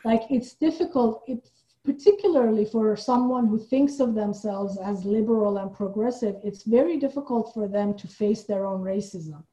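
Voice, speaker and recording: A woman from Iran speaking as a guest in a reflective interview, thoughtful and halting, often checking in with the listener. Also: medium pitch, dark tone, highs cut off. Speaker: podcast guest, playwright and theater director.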